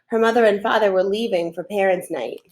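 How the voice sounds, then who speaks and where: A woman's voice: mid-range voice, fairly quick, darkish tone. A middle-aged woman reading a children's chapter book aloud as a teacher would, recorded at a computer in a room at home. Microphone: computer mic at desk, webcam framing.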